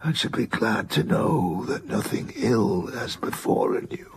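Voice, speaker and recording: A man's voice: lowish voice, huge pitch swings, unhurried, gravelly, in a whisper, full character voice. An actor playing an ailing, proud old man of letters in a period radio drama, speaking formally and emphatically. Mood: weary, fretful. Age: older.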